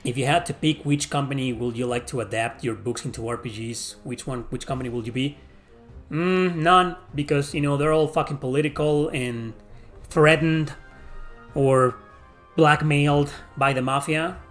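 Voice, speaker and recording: A man's voice: mid-range voice, moderate pace, a bit bright, soft background music. A middle-aged man from Mexico with a Mexican accent, answering viewer questions in a blunt, profane, rambling casual chat.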